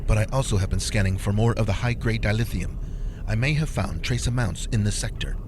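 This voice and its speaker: A man playing a calm, principled starship first officer in a science fiction audio drama. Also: on the low side, fairly quick, background noise, close to an everyday voice. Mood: thoughtful.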